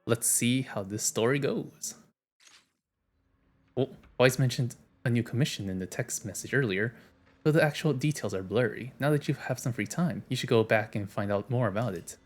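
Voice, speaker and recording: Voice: medium pitch, normal speed, faint music behind. A game streamer chatting casually and reading dialogue aloud on a livestream, sometimes stumbling and self-correcting.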